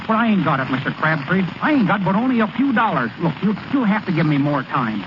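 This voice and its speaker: An actor playing a naive, worried baseball pitcher with folksy, ungrammatical speech, in a 1930s radio drama style. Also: male voice, very animated, quick pace. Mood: pleading. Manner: folksy ungrammatical talk, artless and earnest. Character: naive, worried small-town baseball pitcher, plain and slow-witted. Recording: noisy, muffled vintage radio broadcast recording.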